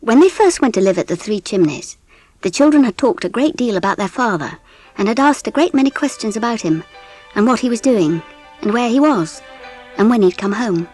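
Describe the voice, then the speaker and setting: An actress playing a genteel English mother who narrates a children's story in a measured, expressive way with an English accent. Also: a little high, slightly rough, faint music behind, low-quality recording. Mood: wistful, grave. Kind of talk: scripted audiobook narration with music.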